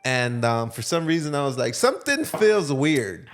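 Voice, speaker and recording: A man's voice: medium pitch, big pitch swings, moderate pace, faint music behind. A young man from Florida co-hosting a casual podcast, joking and laughing while he restarts the intro. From Belle Glade, Florida. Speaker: podcast co-host. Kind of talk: casual chat.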